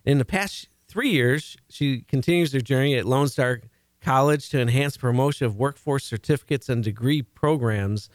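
Chatty Chicago-accented radio host. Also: male voice, mid-range voice, normal speed, dry recording. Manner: chatty and jokey, stumbles and restarts. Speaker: radio talk show host. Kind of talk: casual radio talk show hosting.

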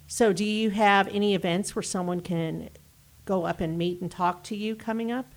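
A woman's voice: mid-range voice, steady tone, normal speed. A woman interviewing a candidate, chatty and encouraging. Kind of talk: interview questions and chat.

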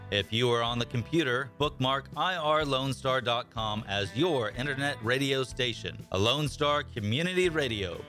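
A man's voice: mid-range voice, pushed and bright. A man reading a scripted radio station promo in an upbeat announcer manner.

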